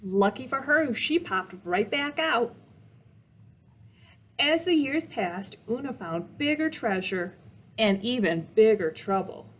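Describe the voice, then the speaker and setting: A woman's voice: fairly high pitch, expressive, slow, a bit bright. A woman reading a children's picture book aloud as a storytime reader, with dramatic emphasis meant to engage young listeners.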